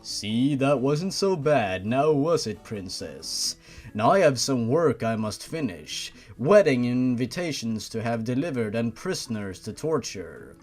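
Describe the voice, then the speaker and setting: A man voicing a smug, cruel, gentlemanly demon captor in a horror fan fiction, with a slow, condescending, taunting manner. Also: medium pitch, very animated, soft background music. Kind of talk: creepypasta fan fiction character reading.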